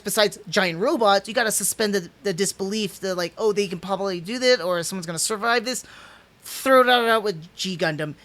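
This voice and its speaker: Rambling man reviewing anime on stream. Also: sits high. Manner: rambling, restarts, stumbles over names, chuckles; animated, gestures with hands while talking. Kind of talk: casual unscripted review. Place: small home room indoors.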